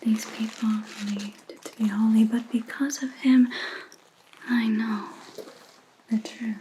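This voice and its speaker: A woman playing a stern, vengeful vampire hunter in a whispered ASMR roleplay, speaking in a breathy whisper with deliberate, accusing pauses. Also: fairly high pitch, steady tone, mellow, a little hiss or hum, close to an everyday voice, rough recording. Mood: bitter, accusing.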